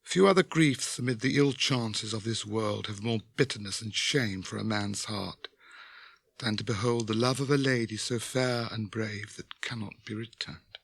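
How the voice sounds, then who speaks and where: A man's voice: on the low side, normal speed, vocal fry, fairly bright tone, slightly rough, exaggerated voice. An actor playing a grave, solemn returned king and healer in a 1980s radio drama. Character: noble kingly male healer and returned king.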